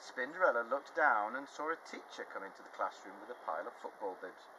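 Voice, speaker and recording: A man in his fifties reading a children's picture book aloud, phrasing it deliberately and expressively. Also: medium pitch, expressive. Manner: deliberate, clearly phrased storytelling for young children. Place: outdoors in a leafy garden. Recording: outdoor ambient noise behind.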